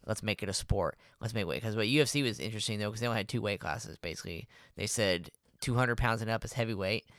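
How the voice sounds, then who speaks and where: A man's voice: lowish voice, fast talker, hardly any pauses, fairly bright tone, no echo, studio quality. A man co-hosting a podcast, chatting informally as he comments and explains. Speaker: podcast co-host. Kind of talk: casual chat, explaining and commenting.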